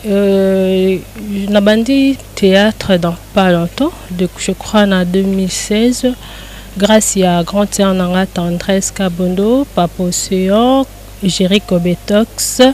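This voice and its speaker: A woman's voice: mid-range voice, takes it slowly, darkish tone, slight background noise. A woman being interviewed as a guest, speaking briefly and a bit stuffed up from a cold. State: has a cold. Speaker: guest actress. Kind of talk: interview answers.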